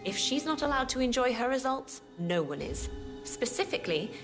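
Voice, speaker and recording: An actress playing a composed corporate woman giving a measured, matter-of-fact briefing in a video game. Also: fairly high pitch, sing-song, over music. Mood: composed, assured. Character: composed corporate woman profiling her staff.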